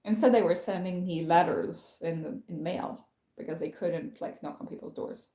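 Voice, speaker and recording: Young female streamer, low-ish voice, loose filler-heavy delivery, recorded in a reverberant room. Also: moderate pace, vocal fry, mellow. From France. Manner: rambling, many fillers and restarts. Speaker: channel host. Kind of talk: casual livestream chat.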